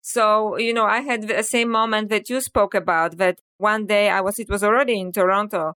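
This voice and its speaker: A woman's voice: mid-range voice, steady tone, normal speed, a bit bright, clear, resonant tone. A middle-aged woman with a Polish accent, a guest author speaking reflectively in a podcast interview. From Poland. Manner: reflective, storytelling with frequent "you know".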